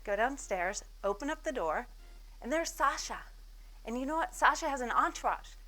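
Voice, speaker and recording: Animated woman telling a business story. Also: sits high, low-quality recording. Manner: animated storyteller, acts out quotes, self-mocking humor. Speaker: speaker giving a business talk. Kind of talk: storytelling keynote talk. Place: event stage before an audience.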